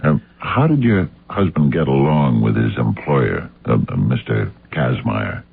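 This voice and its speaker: An actor playing a hard-nosed, relentless investigator in a radio drama, questioning in a probing, accusatory, clipped way. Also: male voice, very deep voice, big pitch swings, measured pace, vocal fry, slightly rough, heavily acted. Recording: muffled narrow-band old radio sound.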